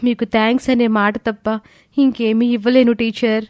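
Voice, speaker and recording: A woman plays a teenage schoolgirl in an audio story, her voice lifted high. She is earnest and respectful, and the recording is close and dry. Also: little pitch movement, normal speed, darkish tone, no room sound, lightly acted. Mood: grateful. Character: Jhansi, earnest grateful teenage girl student. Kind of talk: scripted audio story dialogue.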